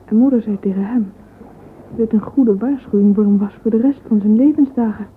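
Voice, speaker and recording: An actress playing a wistful, sentimental young woman in a dreamy, breathy radio-drama soliloquy. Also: medium pitch, brisk, slight background noise, natural voice. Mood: mournful, wistful. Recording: muffled phone-like old radio recording.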